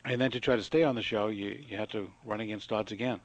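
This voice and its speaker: A man's voice: on the low side, steady tone, quick pace, breathy edge, no echo. A man hosting a radio interview, asking questions with many hesitant fillers. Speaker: radio host. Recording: dull lo-fi broadcast sound.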